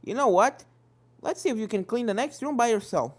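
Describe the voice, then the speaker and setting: Woman as a male spirit pony mentor, hearty, encouraging, animated storybook delivery, music underneath. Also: a little high, fairly quick, voice pushed up.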